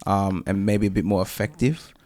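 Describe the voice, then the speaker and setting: Chill guy host, lowish voice, lots of ums and you know what i mean. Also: steady tone, moderate pace, darkish tone. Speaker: podcast host. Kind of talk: casual interview conversation.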